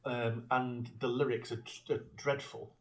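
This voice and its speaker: A man's voice: medium pitch, flat delivery, measured pace. A man co-hosting a podcast, giving blunt opinions on a film with lots of hesitant ums. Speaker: podcast co-host. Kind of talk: casual film-review chat.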